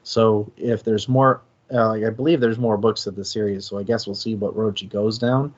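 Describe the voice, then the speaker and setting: A man co-hosting a casual discussion, thinking aloud haltingly and correcting himself as he goes. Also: lowish voice, steady tone, muffled recording. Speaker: co-host of a book discussion stream.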